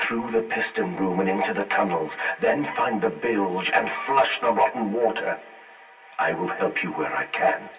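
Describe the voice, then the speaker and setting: A grave machine intelligence with a very low voice. It speaks in portentous, archaic phrases and coaxes like a sinister master. The sound is filtered like an old radio, distant and echoing, with music behind it. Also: male voice, normal speed. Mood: grave, coaxing. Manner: portentous, formal. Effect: radio or telephone filter. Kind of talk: scripted game voice acting, archaic diction. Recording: tinny band-limited line, echoing, distant.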